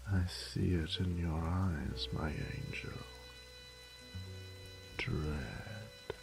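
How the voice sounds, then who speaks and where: Deep, slow, menacing vampire lord. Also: male voice, full character voice. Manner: slow, theatrical, menacing, lapsing into breathy whispers. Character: cruel, gloating male vampire lord savoring his human prey. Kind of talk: scripted horror audio roleplay.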